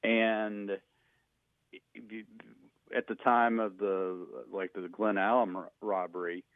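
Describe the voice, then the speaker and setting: An American man explaining history in an interview, halting and rephrasing as he goes. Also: lowish voice, level tone, no room sound. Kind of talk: informal explanatory interview talk. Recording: narrow, tinny phone-like sound.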